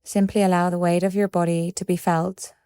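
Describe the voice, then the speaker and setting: A woman leading a guided meditation in a calm, gentle and measured way, leaving pauses between phrases. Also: on the low side, smooth, no echo, clean studio sound.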